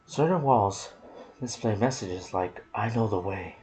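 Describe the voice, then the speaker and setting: A man narrating game lore in ASMR style, soft and breathy, often slipping toward a whisper, musing aloud in casual asides. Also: lowish voice, unhurried, slight background noise, low-quality recording. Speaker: gaming video narrator.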